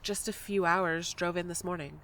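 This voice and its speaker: A woman's voice: lowish voice, steady tone, normal speed, a bit bright, slight background noise, low-quality recording. A woman acting in a fiction podcast as a restless, frustrated and blunt character with a sardonic manner. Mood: worried, blunt.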